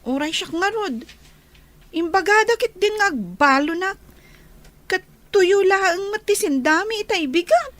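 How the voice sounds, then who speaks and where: A chatty young classmate in a radio drama. The voice is very high and youthful, with an Ilocano accent. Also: female voice, expressive, measured pace, a bit bright, heavily acted. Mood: chiding. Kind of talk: Filipino radio drama acting.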